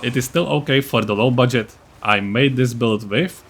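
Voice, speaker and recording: A man with a mid-pitched voice narrates a game build guide as a video creator. His delivery is scripted and matter-of-fact. He sounds a little blunt and self-deprecating as he explains things. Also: moderate pace, a bit bright, slightly rough.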